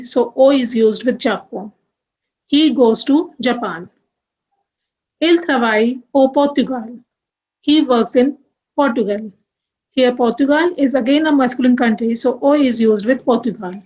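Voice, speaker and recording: A young woman gives a grammar lesson at an unhurried, measured pace. Her voice sits a little high, and she spells out letters one by one. She explains each example patiently. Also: darkish tone, low-quality recording. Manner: slow, deliberate, spells out letters. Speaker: French teacher.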